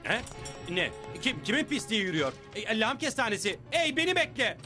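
A man's voice: sits high, big pitch swings, fairly quick, pushed and bright, soft background music, full character voice. An actor playing a big, naive, pompous superhero man in a dubbed cartoon, with theatrical delivery. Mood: excited.

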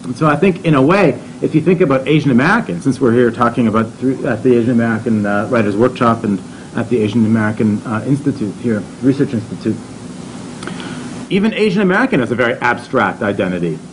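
A man's voice: mid-range voice, lively intonation, quick pace, a little hiss or hum, low-quality recording. Thoughtful male panelist in his thirties, room mic. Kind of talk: panel talk. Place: seminar room.

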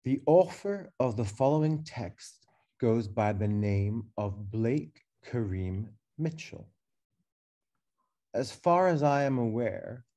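A man in his thirties reading a prepared keynote as curator over a webcam video call, slow, measured and reflective, with pauses. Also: mid-range voice, dark tone. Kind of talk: reading a prepared lecture text. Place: dark room indoors.

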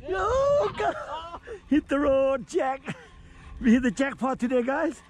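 A middle-aged man chatting excitedly while fishing from a small boat outdoors, whooping and laughing at each catch, recorded on an action camera. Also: very high voice, slow. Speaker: fishing vlogger.

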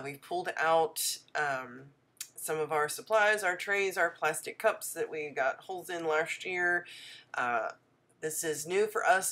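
A woman's voice: low-pitched, fairly bright tone. A woman with a stuffy head cold narrating her homestead vlog in a chatty voice-over, full of ums. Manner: chatty, friendly, many ums. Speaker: homestead vlogger.

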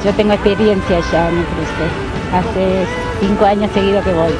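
A woman's voice: mid-range voice, steady tone, normal speed, over loud music, low-quality recording. A woman, a team runner, chatting casually in an outdoor interview. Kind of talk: interview soundbites.

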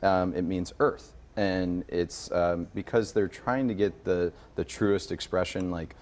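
Deep-voiced man explaining wine casually. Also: fairly even intonation, measured pace, a little mellow. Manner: informal explaining, often checking with "right?". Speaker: sommelier and restaurant manager, studio guest. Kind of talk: casual live TV wine demonstration. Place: TV news studio.

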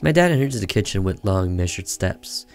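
A man reading a story aloud as expressive narration for a game, occasionally stumbling over the words. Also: low-pitched, moderate pace, clean tone. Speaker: gaming streamer reading a visual novel aloud. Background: music under the voice.

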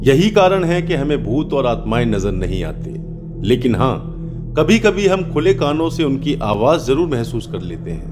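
A man hosting a horror podcast, delivering scripted narration in a dramatic, suspenseful way with emphatic, drawn-out key words. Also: mid-range voice, sing-song. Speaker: host of a horror story podcast. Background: background music.

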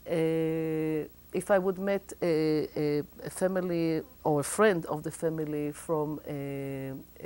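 Middle-aged Israeli woman, Israeli accent, lowish voice, thoughtful and hesitant, lapel mic in a TV studio. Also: darkish tone. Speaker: guest. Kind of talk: interview, explaining.

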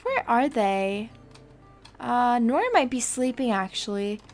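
Casting: woman as a worried, chatty adoptive mum in game roleplay; high-pitched, unhurried, thinks aloud over music. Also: expressive. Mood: puzzled, alarmed. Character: worried adoptive mother, chatty, casual, easily alarmed. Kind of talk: improvised video game roleplay.

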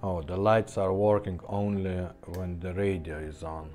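A man with a low, mellow voice walks through a product demonstration casually. He speaks slowly and haltingly, explaining one step at a time in a low-key manner. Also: fairly even intonation, faint music behind. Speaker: presenter demonstrating a restored car radio.

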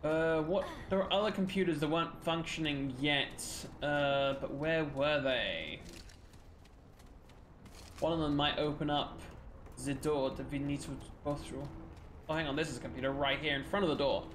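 A man's voice: a little high, normal speed, a bit bright, a little hiss or hum. A young man from Denmark giving rambling, casual commentary while streaming a game, swearing now and then. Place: small room at home. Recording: roomy sound with faint game music.

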